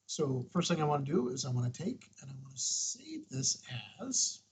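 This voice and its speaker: A man hosting an unscripted, casual software walkthrough, thinking aloud and murmuring to himself as he works. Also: on the high side, big pitch swings, normal speed. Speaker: host of a radio tutorial.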